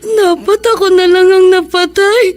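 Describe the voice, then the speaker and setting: A woman playing a grieving, guilt-stricken young daughter, tearful and halting, in a Philippine radio drama. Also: extremely high pitch, flat delivery. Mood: tearful grief. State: tearful, crying.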